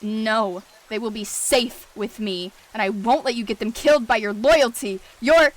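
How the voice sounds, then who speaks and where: A woman voicing an angry, scheming male warrior cat deputy, often in a hushed, urgent tone. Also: fairly high pitch, a little slow, natural voice.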